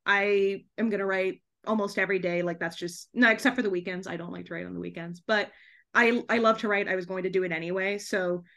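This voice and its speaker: A young woman speaks as a guest in a relaxed interview. Her voice sits at a middle pitch, and she talks in an animated, chatty way, often dropping in "like" as she thinks aloud. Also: quick pace, gravelly. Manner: chatty, animated, frequent "like" and laughing asides. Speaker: podcast guest, debut author. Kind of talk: casual chat interview.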